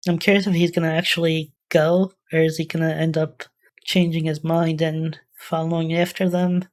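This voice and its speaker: Low-voiced, even-toned woman musing aloud. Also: moderate pace. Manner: musing, tentative, thinking aloud. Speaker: reaction video host. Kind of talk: casual off-the-cuff reaction commentary.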